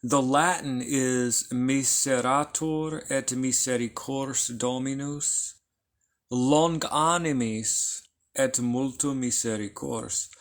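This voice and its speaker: Slow, patient male teacher. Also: medium pitch, fairly even intonation. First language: not Hebrew. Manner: unhurried, explains by repeating and checking with "okay?". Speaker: esoteric teacher. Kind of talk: informal lecture.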